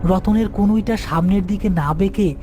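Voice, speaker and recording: Higher-pitched man narrating horror. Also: moderate pace. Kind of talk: scripted horror story narration. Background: background music.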